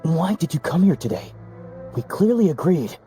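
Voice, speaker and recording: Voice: mid-range voice, very animated, moderate pace, lightly acted. An actor voicing a stern, secretive conspirator who scolds anxiously, in a video game. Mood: stern, alarmed. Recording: loud music under dialogue.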